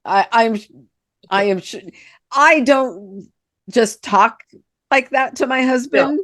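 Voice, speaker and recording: A woman co-hosting a spiritual podcast, slightly nasal, talking slowly and thoughtfully with lots of affirming replies. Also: fairly high pitch, a bit bright, no echo. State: slightly nasal from a held-back sneeze. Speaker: podcast co-host, spiritual medium. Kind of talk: casual spiritual chat.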